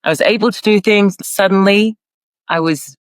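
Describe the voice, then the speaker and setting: A woman's voice: mid-range voice, moderate pace, no room sound, clean studio sound. A woman born in Ireland and raised in Australia, a podcast guest who talks in an earnest, searching way, laughing often and restarting her sentences. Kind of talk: conversational interview.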